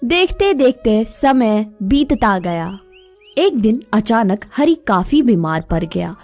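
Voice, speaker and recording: A woman's voice: a little high, very animated, slow pace, a little mellow, faint music behind. A woman narrating a scripted moral story for children.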